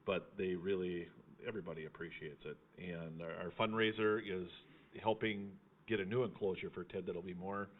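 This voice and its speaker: A man's voice: sits low, steady tone, moderate pace. A man chatting casually as host of a live fundraiser, in a folksy, teasing way. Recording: thin phone-like sound.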